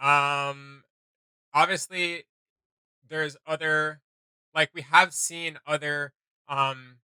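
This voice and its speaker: A man hosting a video game reaction show, chatting casually in a rambling, excitable, slangy way. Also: fairly high pitch, drawn-out words, clear, resonant tone, no room sound, rough recording.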